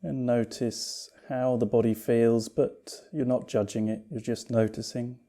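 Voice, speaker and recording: Very mellow, even-toned man guiding meditation. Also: medium pitch. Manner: calm, soothing, unhurried, gently reassuring. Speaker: yoga nidra teacher. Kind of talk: guided meditation instruction.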